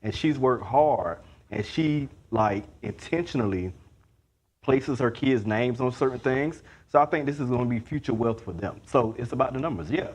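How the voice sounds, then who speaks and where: A man with a slightly husky voice giving informal, conversational opinions as a quiz show contestant. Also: mid-range voice, animated, moderate pace, a little mellow. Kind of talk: casual opinionated culture chat.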